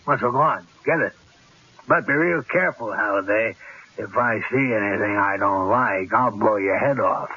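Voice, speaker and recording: An actor playing a cocky, menacing gangster in a radio drama, with tough, slangy street talk and a rough edge. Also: male voice, lowish voice, normal speed, sharp tone. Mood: smug menace. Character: cocky, menacing gangster killer holding a couple at gunpoint. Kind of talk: 1970s radio drama acting. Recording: dull, lo-fi old radio broadcast.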